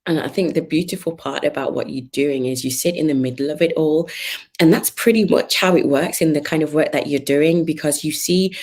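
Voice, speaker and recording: A woman's voice: deep voice, brisk, darkish tone. A woman hosting a casual remote interview. She laughs often and searches aloud for words as she asks her questions. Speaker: host.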